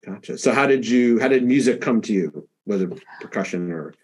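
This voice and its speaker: A man's voice: lowish voice, animated, a little fast, darkish tone, husky. A man hosting a podcast, chatting in an easygoing way with plenty of fillers. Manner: easygoing, lots of fillers and backchannels. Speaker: podcast host. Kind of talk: casual interview chat.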